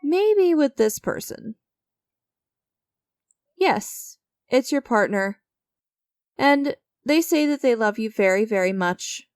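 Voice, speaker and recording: Woman performing an enigmatic female stranger, sinister dealmaker, higher-pitched, coaxing, theatrical, smug, dry studio sound, dramatic audio roleplay. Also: animated, takes it slowly, long pauses, smooth, dry recording. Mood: smug, playful. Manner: teasing, theatrical, smugly coaxing.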